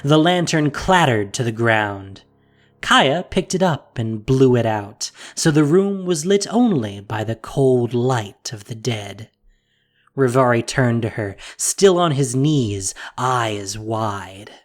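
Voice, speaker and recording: A man narrates a fantasy tale in a mid-pitched voice that rises and falls with animated expression. His reading is scripted and paced for storytelling. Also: takes it slowly.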